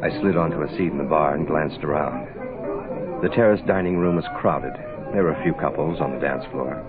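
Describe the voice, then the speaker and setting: An actor playing a cool, wry American private investigator, dry and hard-boiled, in 1960s radio noir. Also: male voice, rumbling low, brisk. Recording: tinny lo-fi 1960s radio recording, music behind.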